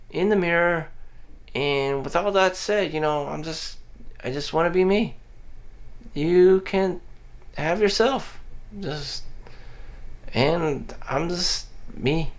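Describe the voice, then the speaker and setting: A man speaking in a somewhat high register delivers a meandering, unscripted monologue for his vlog. He talks bluntly, swears offhandedly and circles back on himself with constant fillers and false starts. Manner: meandering, frequent "you know" fillers and restarts. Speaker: vlogger. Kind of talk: rambling personal monologue. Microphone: audio-only recording.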